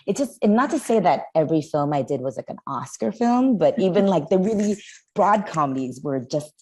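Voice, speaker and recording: Low, mellow woman producer, Vietnamese speaker, chatty and animated. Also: a little fast. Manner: chatty, animated, full of fillers. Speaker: film producer. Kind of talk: casual interview talk.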